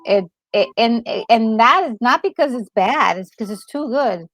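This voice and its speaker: A woman co-hosting a casual gaming chat, talking in an excitable, emphatic way and often repeating herself. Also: a little high, moderate pace, no room sound. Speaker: gaming channel co-host. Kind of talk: casual reaction chat about a game story. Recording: music playing behind the voice. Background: background music.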